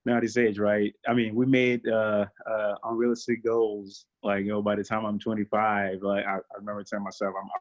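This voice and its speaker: Low-voiced man talking things over. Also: brisk, hardly any pauses, dull audio. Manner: relaxed, reflective, many fillers. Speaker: guest. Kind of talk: casual interview.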